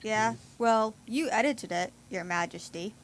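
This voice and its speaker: A woman's voice: mid-range voice, unhurried, a little hiss or hum, close to an everyday voice. A woman playing a sardonic hippie goth with macabre tastes in an amateur audio play, dry and playfully sarcastic. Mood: dryly teasing.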